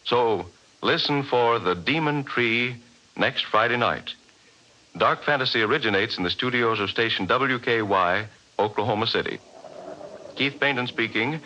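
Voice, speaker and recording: A man's voice: medium pitch, moderate pace, bright, forceful tone. Formal 1940s radio announcer, man. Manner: crisp, formal. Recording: dull old radio broadcast.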